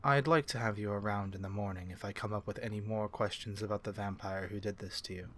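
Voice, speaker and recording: A calm, courteous male vampire, played by a man for a fantasy ASMR roleplay. His voice is low and soft-spoken, with formal, measured phrasing that gently reassures. Faint ambient noise sits behind him. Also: close to an everyday voice. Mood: gentle, caring.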